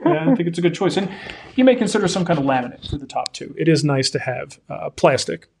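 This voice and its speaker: A man in his forties co-hosting a live woodworking Q&A, giving practical advice in a relaxed, folksy way. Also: fairly high pitch, fast, vocal fry, slight background noise. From Denver area. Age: 40s. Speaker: woodworking show co-host. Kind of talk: live-stream Q&A, casual chat.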